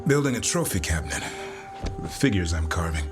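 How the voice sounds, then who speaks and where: An actor playing a deadpan, dry-humoured male warrior in a fantasy video game. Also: low voice, fairly quick, loud music behind the voice. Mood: dry teasing.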